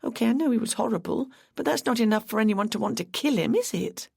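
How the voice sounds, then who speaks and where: A woman's voice: expressive, quick pace, creaky, a little mellow, breathy edge, dry recording, studio quality, heavily acted. A woman voicing a timid, tearful young woman in her twenties who sounds anxious and gushing. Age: 20s. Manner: high, sniffly, anxious and gushing.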